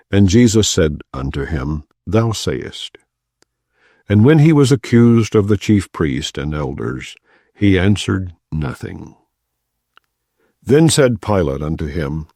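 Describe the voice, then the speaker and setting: A man reading scripture aloud in a measured, solemn way, lifting his voice for the quoted speech. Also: low-pitched, warm tone, no echo, clean studio sound. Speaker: scripture reader.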